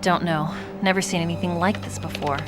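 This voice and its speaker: An actress playing a calm female agent and tech expert who speaks matter-of-factly. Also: fairly low pitch, a little fast, lightly acted. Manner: matter-of-fact. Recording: game cutscene audio with loud music.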